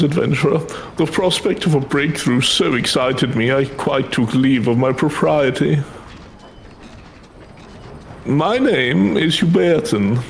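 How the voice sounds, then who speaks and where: A man voicing an excitable, easily frustrated scholar in a fantasy game, with flustered and theatrical formal speech. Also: on the high side, gravelly, a little hiss or hum, exaggerated voice. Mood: excited. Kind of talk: fan read-aloud of fantasy game dialogue.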